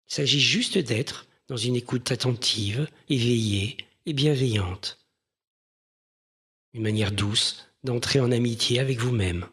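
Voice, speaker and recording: Husky-voiced man guiding a meditation. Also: medium pitch. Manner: gentle, measured, unhurried phrasing with pauses. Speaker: meditation guide. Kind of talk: guided mindfulness meditation, instructional.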